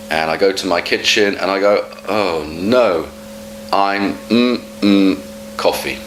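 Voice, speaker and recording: Slow, low-voiced male English teacher. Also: a bit bright, rough recording. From Wales. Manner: hums gaps for learners to fill, unhurried. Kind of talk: casual spoken lesson.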